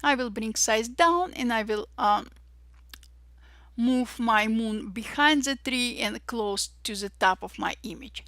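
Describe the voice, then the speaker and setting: A woman with an Armenian-Russian accent guides each step in a slow, unhurried tutorial. Her voice is bright and a little high, and she sounds cheerful. The recording is close and dry, with no room sound. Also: dry recording. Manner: cheerful, says "voila" and "perfect" often, slow deliberate steps. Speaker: Photoshop tutorial instructor. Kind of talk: step-by-step software tutorial narration.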